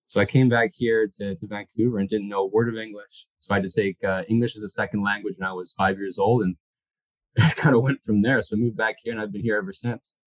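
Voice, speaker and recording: A man's voice: lowish voice, fairly even intonation, fast talker, no room sound, rough recording. A Canadian man speaking as a guest in a casual interview, easygoing and descriptive.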